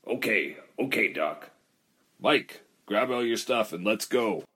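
A man's voice: on the low side, animated, takes it slowly, pushed and bright, breathy edge, exaggerated voice. A man playing an easygoing, slangy press photographer with clipped phrases in a 1940s-style radio drama. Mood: resigned. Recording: noisy, camera clicking behind.

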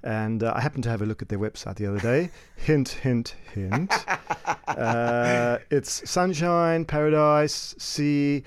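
A man's voice: mid-range voice, unhurried, clear, resonant tone, no room sound. Wry South African man co-hosting. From South Africa, Cape Town. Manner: dry, wry humour. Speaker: co-host, business coach. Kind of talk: casual radio chat.

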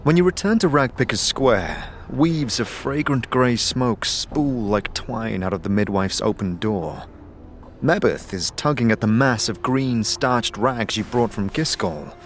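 A man's voice: mid-range voice, lively intonation, measured pace, slight background noise. A man giving scripted, storybook-like narration for a fantasy audio drama.

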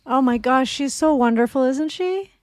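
A woman co-hosting a radio show, bubbly and excited. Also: high voice, normal speed, no echo. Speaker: radio co-host.

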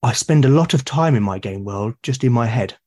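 A man's voice: mid-range voice, expressive, a little fast, darkish tone. A British man, a podcast guest, talking in a reflective, casual way and often restarting and repeating words mid-sentence. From Britain.

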